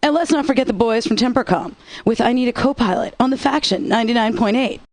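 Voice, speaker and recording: A woman's voice: on the high side, fast talker, darkish tone, dry recording, lightly acted. An actress playing a wry, upbeat alternative-rock radio DJ woman in a video game, introducing songs with sarcastic patter. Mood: dry, upbeat.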